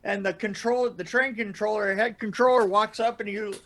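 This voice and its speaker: High-pitched man telling rambling anecdotes. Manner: animated, laughs through his stories, halting starts and repeats. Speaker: podcast storyteller and guest regular. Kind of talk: casual anecdotal storytelling. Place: indoor room, video call.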